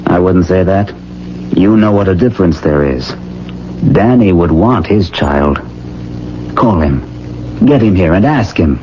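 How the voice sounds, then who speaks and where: A man acting in a 1970s radio drama as a calm, practical doctor, steady and matter-of-fact. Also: low-pitched, unhurried, long pauses. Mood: firm. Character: calm, practical male doctor and family confidant. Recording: muffled, noisy old radio broadcast.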